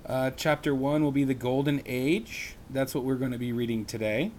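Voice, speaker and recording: A man who teaches reads a children's chapter book aloud in a slow, expressive way, with small slips. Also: mid-range voice, slight background noise.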